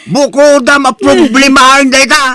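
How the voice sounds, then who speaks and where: A man's voice: very high-pitched, steady tone, moderate pace. A man playing a worried, reproachful relative in a radio-style comedy drama, with exclamatory delivery. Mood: scolding. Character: worried, scolding male relative of a gullible woman.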